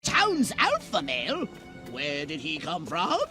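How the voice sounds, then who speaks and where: A man's voice: fairly high pitch, huge pitch swings, slow, bright, forceful tone, soft background music, full character voice. A man voicing an imperious, scheming male alien commander in a theatrical, droll video game villain style. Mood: commanding.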